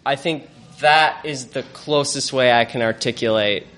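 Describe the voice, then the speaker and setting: A man with an even, mid-pitched voice recalls a childhood memory for a live audience. He speaks haltingly, searching for words and restarting his phrases as he reflects. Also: faint music behind. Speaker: storyteller at a live event. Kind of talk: personal storytelling to a live audience.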